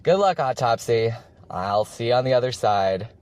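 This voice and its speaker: A man's voice: mid-range voice, lively intonation, moderate pace, darkish tone, clean tone, no echo, clean studio sound. Male podcast listener, mid-range voice, mock-formal and tongue-in-cheek recorded message. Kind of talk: personal recorded opinion message.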